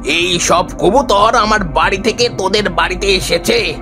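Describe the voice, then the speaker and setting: A man's voice: high-pitched, a little slow, music in the background. A man playing a blustering, confrontational village neighbour in a cartoon story. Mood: accusing, demanding. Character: blustering male village neighbour, accusing and demanding. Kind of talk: Bengali cartoon story voice acting.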